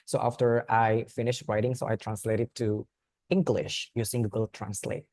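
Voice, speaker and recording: A man's voice: on the low side, flat delivery, normal speed, a little mellow, dry recording, studio quality. A young man with an Indonesian accent, a native Indonesian speaker, giving an earnest student talk with frequent restarts. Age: young adult. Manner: earnest, plain phrasing with frequent restarts and repeated words. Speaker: student speaker at a youth forum. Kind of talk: informal presentation.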